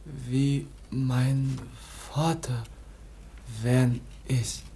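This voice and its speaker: A dazed worn-out teenage boy, super slow broken words, soft dull tone, hissy old radio play. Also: medium pitch, fairly even intonation. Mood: dazed, weary. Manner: halting, fragmented, repeating the same few words. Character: exhausted, bewildered foundling youth of seventeen, barely able to speak.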